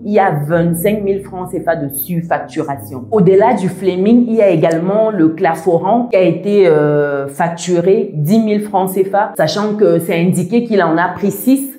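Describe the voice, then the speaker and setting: Woman vlogger with a diaspora accent, low and mellow, deliberate, hesitant pauses, echoey room. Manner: measured, methodical, many "euh" pauses. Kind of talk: explaining to camera.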